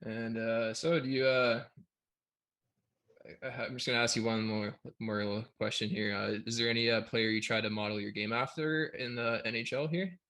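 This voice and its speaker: A man's voice: medium pitch, fairly even intonation, moderate pace, bright, forceful tone. A man hosting a podcast interview, chatty and stumbling over his questions. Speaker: podcast host. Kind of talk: casual interview.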